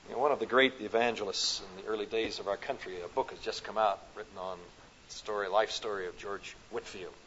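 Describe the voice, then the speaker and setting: A man from the American Midwest with a Midwestern accent, preaching a sermon at a conference, rising to loud emphatic peaks and dropping to soft, slow phrases. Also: on the low side, fairly even intonation, slight background noise. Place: conference hall. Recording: dull lo-fi recording.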